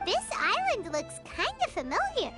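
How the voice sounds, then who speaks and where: A woman voicing a tiny, cheeky, excitable fairy companion in a video game, squeaky and childlike, talking about herself in the third person. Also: unhurried, exaggerated voice. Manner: refers to self by name, whiny, dramatic. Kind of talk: video game cutscene acting. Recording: loud game music behind.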